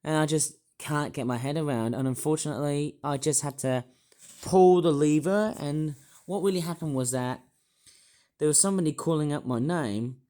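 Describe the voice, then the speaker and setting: A man with a hoarse, croaky voice, rambling hesitantly through a personal vlog monologue with many restarts. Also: fairly high pitch, normal speed, dark tone. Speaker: YouTuber.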